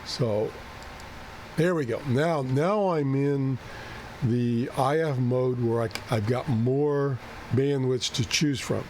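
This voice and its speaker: Man, hoarse, throat-clearing, unhurried, thinking aloud, self-correcting, faint background noise. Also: mid-range voice, darkish tone. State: losing his voice, keeps clearing his throat. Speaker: ham radio video host. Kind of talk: unscripted screen-share walkthrough.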